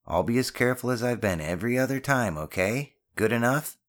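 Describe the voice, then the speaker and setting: An awkward schoolboy acted by a male audiobook narrator, raised well above the reader's usual pitch. He sounds casual and skeptical, a son humoring his mother with mild exasperation. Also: animated, fast, lightly acted. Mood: exasperated. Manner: casual, hesitant, mildly exasperated. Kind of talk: audiobook character dialogue.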